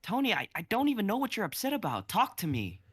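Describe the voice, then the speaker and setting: A man's voice: high voice, wildly swooping pitch, quick pace, husky, dry recording, studio quality, pitched above the performer's own voice, exaggerated voice. Man reading as a flustered, pleading husband. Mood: pleading, defensive. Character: defensive, pleading young husband. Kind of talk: live-streamed script reading.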